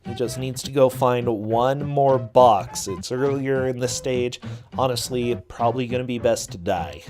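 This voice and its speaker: A man's voice: medium pitch, measured pace. A man doing casual, chatty commentary on a video game race. He laughs often, and his voice is getting a little worn. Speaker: teacher and streamer. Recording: music playing behind.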